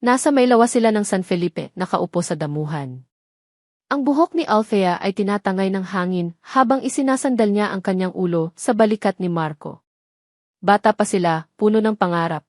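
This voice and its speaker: A woman reading a scripted romance story in a measured, solemn, dramatic narrating manner. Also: medium pitch, a little mellow, no echo, studio quality.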